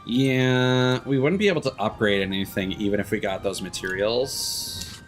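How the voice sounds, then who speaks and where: A man's voice: fairly low pitch, normal speed, soft background music. A man livestreaming a video game and chatting casually with viewers, hesitant and rambling, often trailing off. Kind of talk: casual chat with stream viewers.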